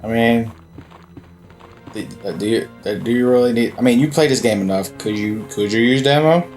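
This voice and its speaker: A man's voice: medium pitch, moderate pace, darkish tone, soft background music. A young adult man co-hosting a game session, chatty and teasing, cutting in with side comments. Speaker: co-host. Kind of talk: casual gameplay chat.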